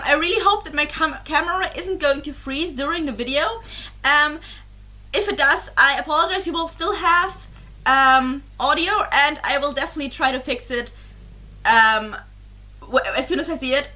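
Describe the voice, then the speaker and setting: Young woman, slightly high, crisp bright voice; rambling, giggly webcam chat. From Germany. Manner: rambling and apologetic, many fillers and giggles. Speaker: reaction YouTuber. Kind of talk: casual chat to camera.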